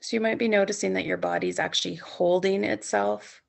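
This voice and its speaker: A woman leading a guided sleep meditation as a yoga nidra instructor, speaking soothingly in gently coaxing, unhurried phrases with long pauses. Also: medium pitch, fairly even intonation, vocal fry.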